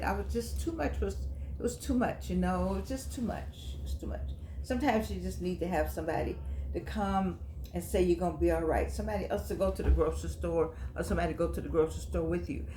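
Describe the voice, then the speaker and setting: A woman in her eighties hosting her own vlog, chatting and reminiscing in long, drawn-out phrases. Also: on the low side, darkish tone, slight background noise. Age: 80s. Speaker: host. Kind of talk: casual vlog monologue.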